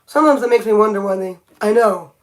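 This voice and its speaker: A woman's voice: medium pitch, quick pace, a little hiss or hum, poor audio. A woman chatting casually on a livestream as she paints her nails, thinking aloud and often restarting her sentences. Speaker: nail art livestreamer. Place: home by a window.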